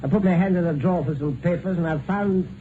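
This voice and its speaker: Bluff gentleman played by a man, hissy old radio. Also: high-pitched, fast, close to an everyday voice. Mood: amused, genial. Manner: bluff, genial, clipped. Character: hearty, unsuspecting upper-class husband and dinner host. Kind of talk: 1950s radio drama acting. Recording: noisy, narrow-band 1950s radio broadcast.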